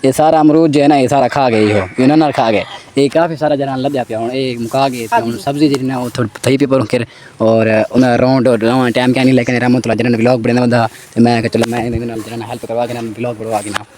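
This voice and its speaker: A man vlogging casually outdoors in the countryside, slightly hoarse from a sore throat, chatty and excited. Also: medium pitch, fast. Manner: chatty, excited, calls out to people. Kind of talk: casual vlog narration.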